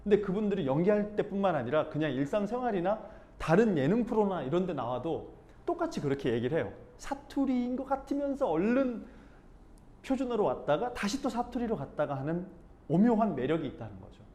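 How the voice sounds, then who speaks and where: Lively, expressive male speech teacher. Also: high voice, a little fast. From Busan, South Korea. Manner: animated, breaks into acted-out dialect quotes. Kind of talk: informal class talk.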